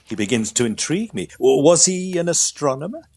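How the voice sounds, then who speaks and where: A man's voice: on the high side, sing-song, a little fast, darkish tone. A man playing a sceptical, inquisitive doctor in a period audio drama, probing and formal. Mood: intrigued. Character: sceptical, inquisitive male doctor questioning a patient.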